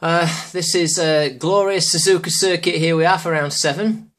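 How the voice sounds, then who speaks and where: A man with a sore throat commentating an online sim race as host, chatty and getting excited during the action. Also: a little high, moderate pace. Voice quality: slightly hoarse.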